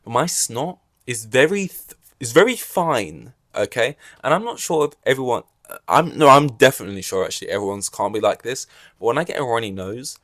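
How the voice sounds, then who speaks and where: A man's voice: medium pitch, animated, a little fast, a bit bright, no room sound, studio quality. A young man hosting a casual solo podcast, rambling with wry asides and a slightly scratchy throat. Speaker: podcast host.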